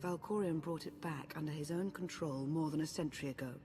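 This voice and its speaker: A man's voice: a little high, fairly quick, music in the background, natural voice. A man playing a composed, scholarly adviser. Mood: measured. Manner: composed, explanatory. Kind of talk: video game cutscene acting.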